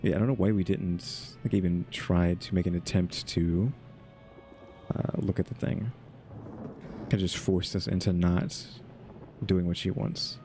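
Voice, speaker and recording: Low-voiced man doing casual game commentary. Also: steady tone, measured pace, long pauses, music in the background. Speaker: gaming video host.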